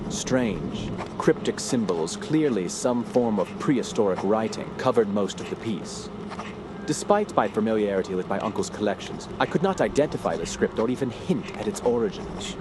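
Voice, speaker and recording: A man acting a low-voiced, haunted scholarly gentleman over noise. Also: natural voice. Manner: formal, measured, ominous. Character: haunted scholarly gentleman heir, grave and brooding. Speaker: narrator of an audio drama. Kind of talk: dramatic first-person horror narration.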